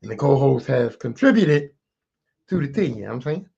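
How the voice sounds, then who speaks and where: A man hosting his own podcast, raspy this morning, talking casually and with plenty of swearing straight to his listeners. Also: medium pitch, brisk, muffled recording. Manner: profane, animated, talks straight to listeners. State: raspy, clearing throat. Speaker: podcast host. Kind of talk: casual solo podcast rant.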